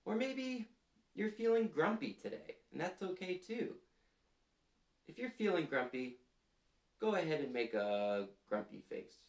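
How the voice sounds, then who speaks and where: A man in his thirties hosting a children's storytime, reading aloud and prompting listeners in a gentle, deliberate, clearly phrased way, seated in a small room. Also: sits high, big pitch swings. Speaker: storytime host reading picture books to children. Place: small furnished room indoors, seated on a couch. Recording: roomy indoor sound.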